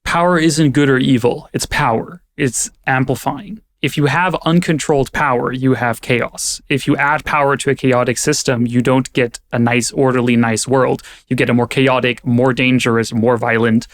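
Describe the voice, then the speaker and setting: A man's voice: medium pitch, fairly even intonation, normal speed, few pauses. A young man from California who grew up partly in Germany, a podcast guest explaining ideas in a thoughtful, conversational way with frequent fillers. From California, raised partly in Germany. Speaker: guest, AI safety advocate.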